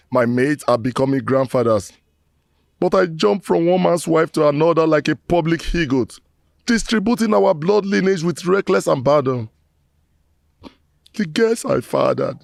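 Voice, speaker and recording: A man's voice: on the high side, creaky, no echo, studio quality, full character voice. A Nigerian man acting a remorseful middle-aged rogue who laments and pleads in formal, theatrical speech. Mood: anguished, pleading. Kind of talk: theatrical screen drama monologue.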